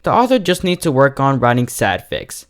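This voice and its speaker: Animated man reviewing stories for viewers. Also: medium pitch, moderate pace. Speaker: host and reviewer of fan fiction readings. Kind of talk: scripted review addressed to online viewers.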